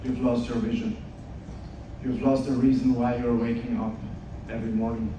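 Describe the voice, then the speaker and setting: A man gives an earnest motivational talk and speaks straight to the crowd. His voice sits in the middle range. It carries from away from the mic through a big, echoing hall with a steady hiss behind it. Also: medium pitch, moderate pace, lo-fi sound. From Switzerland. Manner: earnest storytelling, direct address to audience. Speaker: speaker at an event, podcast host. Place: large event hall. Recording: distant echoey hall with noise.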